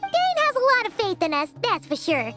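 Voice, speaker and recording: Game sidekick: tiny cheerful girl, extremely high, bright, very animated. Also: normal speed, faint music behind, heavily acted. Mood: cheerful, supportive. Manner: refers to self in third person, eager. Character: small, cheerful, childlike floating companion girl. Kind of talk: game character voice acting.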